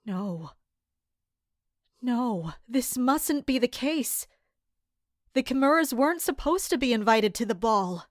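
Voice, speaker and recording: A woman playing a guarded, watchful palace servant who narrates a fantasy audio drama in a measured, serious way. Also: fairly high pitch, animated, dry recording, clean studio sound. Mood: shocked, uneasy. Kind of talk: scripted first-person audio drama narration.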